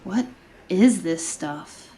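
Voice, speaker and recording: A woman playing a tough young female rebel in an audio drama. Her voice is on the low side and dark-toned. She talks bluntly, with impatient, sardonic bite. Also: expressive, extremely slow, breathy edge, full character voice. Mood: grumbling, uneasy. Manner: brusque, sardonic.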